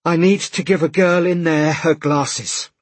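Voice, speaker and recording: Audiobook character: exasperated man performed by male reader, urgent, emphatic, a little rough-edged, close dry sound. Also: mid-range voice, moderate pace, no echo, lightly acted. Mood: urgent pleading. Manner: emphatic, insistent. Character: harried, exasperated man pleading and ranting urgently.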